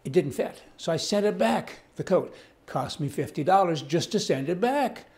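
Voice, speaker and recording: A man's voice: sits high, lively intonation, moderate pace, a little mellow. An older man, giving indignant, rambling, folksy news commentary as host, reading to camera on an indoor studio set. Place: indoor studio set with wood-panel wall.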